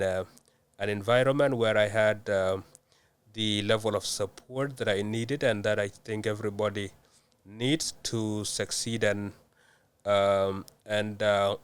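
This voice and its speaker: A young man from South Sudan answering interview questions in a measured way, with many hesitant fillers. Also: on the low side, clear, resonant tone. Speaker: guest.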